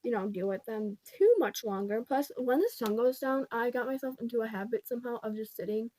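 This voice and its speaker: A woman's voice: on the high side, brisk, dark tone, rough recording. A young woman vlogger, congested, casually telling a rambling storytime at home, full of fillers like "like" and "pretty much".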